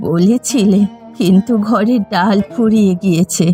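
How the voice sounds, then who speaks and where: A woman voicing an ailing, mistreated elderly mother-in-law in an animated village story, meek, plaintive and pleading. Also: medium pitch, a little slow, soft background music, lightly acted. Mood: meek, apologetic. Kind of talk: animated moral story voice acting.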